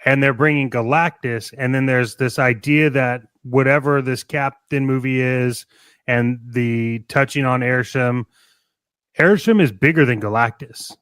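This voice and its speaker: A man's voice: medium pitch, measured pace, fairly bright tone, smooth, dry recording. A man with a clean, resonant voice, recorded close and dry. He talks like an enthusiastic fan co-hosting a casual podcast, working out his thoughts aloud in a rambling way. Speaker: podcast co-host. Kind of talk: casual fan chat about toys and films.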